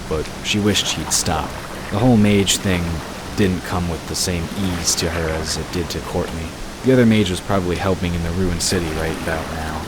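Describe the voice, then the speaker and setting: Deep voiced guy reading a fantasy story, measured, lots of stream noise behind. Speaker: narrator. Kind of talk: scripted fantasy story narration. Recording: noisy, with water sound effects behind. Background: rushing water ambience.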